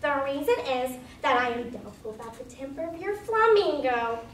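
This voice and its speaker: A woman playing an affectionate, moralizing old Duchess in readers theater, with a drawn-out, singsong delivery. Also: high voice, expressive. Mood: gushing. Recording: echoey room, distant mic, noisy.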